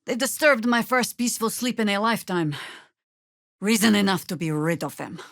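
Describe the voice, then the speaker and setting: A woman's voice: medium pitch, moderate pace, a bit bright, no echo, close to an everyday voice. A woman voicing a seasoned, wry, battle-hardened druid veteran in a fantasy video game, speaking in a dry, world-weary, commanding way.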